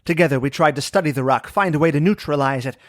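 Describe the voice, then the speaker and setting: Man playing a higher-voiced haunted, remorseful scientist. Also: quick pace, dry recording, studio quality, lightly acted. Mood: haunted, insistent. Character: wounded, remorseful male scientist confessing a dangerous secret. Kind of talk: old-time radio melodrama.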